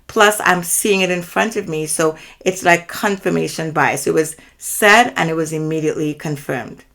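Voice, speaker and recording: A Trinidadian woman in her forties hosts her own channel and tells a personal story in a measured, earnest way. Her voice sits low, and she explains each point with care. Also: creaky, fairly bright tone. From Trinidad and Tobago. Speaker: channel host.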